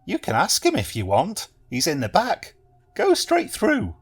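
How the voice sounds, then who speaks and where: A man doing a flirty high-voiced receptionist. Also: wildly swooping pitch, unhurried, heavily acted. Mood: coy. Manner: high, breathy, exaggerated interest.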